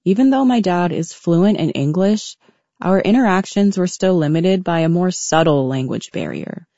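A woman in her twenties narrating her own story in a reflective, measured, scripted way. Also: lowish voice, expressive, warm tone, dry recording. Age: 20s. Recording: dull, muffled audio.

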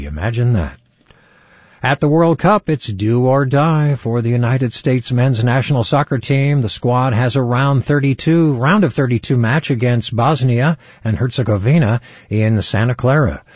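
A man radio newscaster reading scripted news items and sponsor messages in a measured announcer delivery. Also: mid-range voice, a little mellow, husky, no room sound, muffled recording.

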